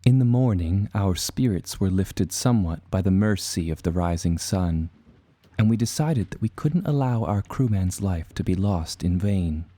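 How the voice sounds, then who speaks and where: A man playing an earnest seafaring adventurer, narrating his voyage in a measured, formal storytelling manner. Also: sits low, animated, dark tone, dry recording. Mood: solemn. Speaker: narrator and protagonist. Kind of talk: scripted first-person adventure narration.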